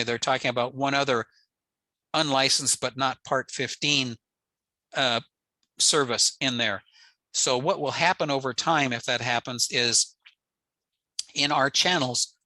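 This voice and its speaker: Very bright, crisp-edged American man presenting. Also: mid-range voice, a little slow, no room sound, rough recording. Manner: matter-of-fact, plain-spoken. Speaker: presenter, amateur radio enthusiast. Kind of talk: informal technical slide presentation. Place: indoors, on a video call.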